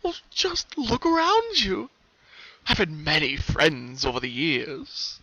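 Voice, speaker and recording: A man voicing a crazed, soulless young male cult pony who taunts in a drawn-out, mock-cheerful and cruel way. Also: a little high, huge pitch swings, pushed and bright, no echo, pitched above the performer's own voice, heavily acted. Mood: gleeful menace. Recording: dull, muffled home recording.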